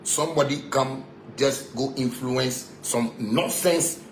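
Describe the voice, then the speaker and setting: A man's voice: mid-range voice, slow pace. A man with a Ghanaian accent delivering an angry, confrontational rant in Pidgin and broken English, repeating himself and throwing insults. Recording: echoey room with background noise.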